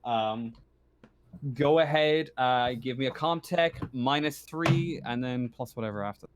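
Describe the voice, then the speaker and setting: A man running a casual online tabletop game as game master, narrating and ruling in a halting, hesitant way. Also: mid-range voice, noisy background.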